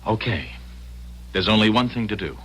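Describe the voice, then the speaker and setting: An actor playing an earnest, determined time traveler in an old-time radio drama, speaking urgently and dramatically. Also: male voice, lowish voice, animated, normal speed, a bit bright, a little hiss or hum.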